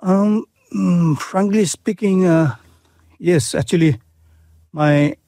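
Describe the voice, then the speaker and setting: A middle-aged man with a Bhutanese accent, speaking in an interview with many pauses, fillers and restarts. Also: sits high, mellow, no room sound. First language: Nepali. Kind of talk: casual interview.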